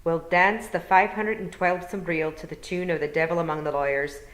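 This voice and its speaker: Lady doing an old pixie bard guy for storytime, slow n polite, rolls his r's, wistful, echoey room. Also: on the low side, steady tone, fairly bright tone, lightly acted. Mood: solemn. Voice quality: rolled R's. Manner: clear, slow, polite, formal.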